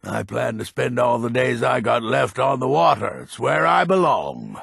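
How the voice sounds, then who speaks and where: An actor playing a grumpy, proud old Nordic lord in a fantasy video game, with a gravelly, raspy voice and a blustering manner. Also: male voice, medium pitch, very animated, a bit bright, no echo, studio quality, full character voice. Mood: content. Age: older. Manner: grumpy, blustering, slow and weighty. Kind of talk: video game character voice acting.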